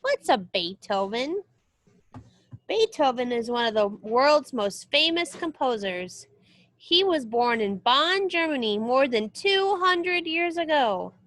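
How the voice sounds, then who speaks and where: A woman reading a children's music book aloud as a teacher, expressive and explanatory. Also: high-pitched, a little slow, no room sound. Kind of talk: read-aloud lesson for children.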